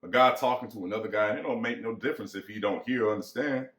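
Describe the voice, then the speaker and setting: A man's voice: lowish voice, quick pace, fairly bright tone, natural voice. A man acting as bitter, lonely American ranch hand, echoey. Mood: musing, pressing. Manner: folksy rural vernacular, musing and pressing. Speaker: actor. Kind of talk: dramatic reading of a novel. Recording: echoey room.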